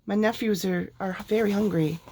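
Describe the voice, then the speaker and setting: A sweet little cartoon mouse lady from a storybook, played by a woman reader. Her voice lifts high and sounds earnest. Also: moderate pace, warm tone, slightly rough. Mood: pleading.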